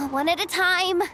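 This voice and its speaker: A woman's voice: very high-pitched, background noise, full character voice. A woman voicing a frazzled, eager young event coordinator in a video game, flustered and dramatic in manner. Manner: flustered, dramatic, rambling and hesitant. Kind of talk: English video game voice acting. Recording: background music under the voice.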